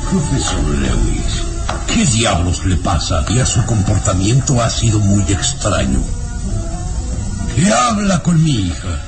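An actor with a Mexican accent playing a menacing, obsessive, imperious baron in a theatrical old radio serial. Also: male voice, on the low side, wildly swooping pitch, takes it slowly, over music, full character voice. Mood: suspicious, menacing.

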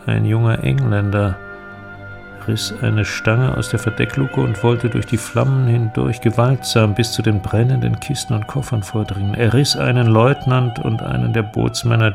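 An actor playing a pensive, sombre middle-aged inventor in a literary audio drama, speaking in a measured, deliberate way with a creaky voice. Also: male voice, fairly low pitch.

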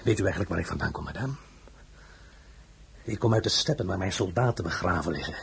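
An actor playing a bitter, war-weary emperor in a radio drama, commanding, sarcastic and formal. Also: male voice, low-pitched, lively intonation, quick pace, gravelly, breathy edge, exaggerated voice. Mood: grim, bitter. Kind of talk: Dutch-language radio drama acting.

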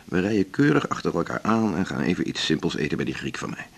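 Gravelly, low-voiced reflective doctor. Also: male voice, big pitch swings, fast, exaggerated voice. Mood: resigned, sympathetic. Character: reflective, principled male doctor and confidant. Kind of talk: naturalistic radio drama dialogue.

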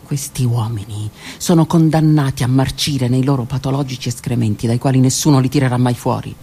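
A woman's voice: a bass voice, a little fast, dark tone, breathy edge. A woman performing an introspective theatrical monologue for radio, delivered dramatically and at times dropping to a whisper. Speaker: stage performer. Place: stage or studio performance.

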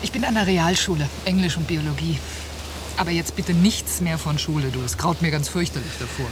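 A woman's voice: low-pitched, brisk, close to an everyday voice. Actress doing chatty independent backpacker teacher lady, casual radio drama, hissy old tape. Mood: wry, casual. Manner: casual, chatty, self-assured. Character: independent, outgoing female teacher, seasoned backpacker. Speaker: actor. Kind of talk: naturalistic radio drama dialogue.

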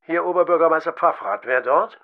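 Tinny phone voice, officious mayor. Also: male voice, a little high, brisk. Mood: officious, impatient. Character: officious middle-aged mayor answering the telephone. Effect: telephone filter. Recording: thin, narrow telephone-line sound.

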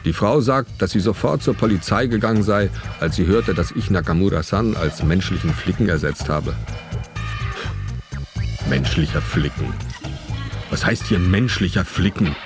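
Trapped family man, very low voice, bewildered, sardonic, radio play monologue, music underneath. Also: lively intonation, moderate pace. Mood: indignant, sardonic. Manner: sardonic, exasperated. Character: bewildered, put-upon family man trapped guarding a gas leak. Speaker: actor narrating in first person. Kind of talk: dramatic first-person audio play monologue.

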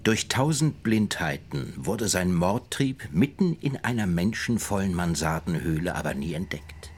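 An actor in a radio drama playing a sardonic writer, narrating in a deliberate, literary manner. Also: male voice, low-pitched, slight background noise.

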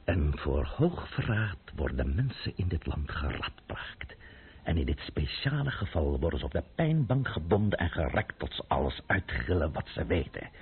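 An actor in a Dutch radio play, voicing a haughty, threatening French count with a pompous, sarcastic manner. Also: male voice, deep voice, slightly rough, heavily acted. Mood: menacing. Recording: muffled phone-like old radio recording.

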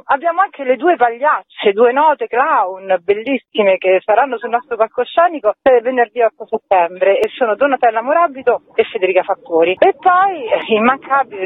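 A woman's voice: a little high, dry recording. Radio guest: woman organizer on a narrow phone line, dry sound, keen and emphatic promotional talk. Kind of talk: promotional radio interview, semi-spontaneous presentation. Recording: phone-like, narrow-band radio interview audio.

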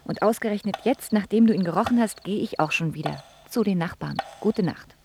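An actress plays a brooding young woman, a prickly daughter telling her own story in a radio drama. Her medium-pitched voice is terse and dry, with clear noise in the background. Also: a little fast, natural voice. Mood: dry, detached. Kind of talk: first-person radio drama narration and acting.

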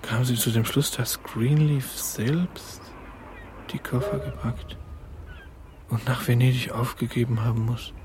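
A man's voice: mid-range voice, flat delivery, measured pace, noisy background, natural voice. Actor as a tense, brooding man in radio drama. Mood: grave. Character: anxious, agitated man prone to brooding suspicion. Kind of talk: radio play acting.

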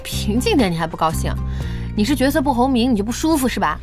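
An actress playing a brisk, bossy young female internet-café owner in an audio drama, direct and chiding. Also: on the high side, very animated, soft background music. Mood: nosy, teasing. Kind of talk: Chinese audio drama acting.

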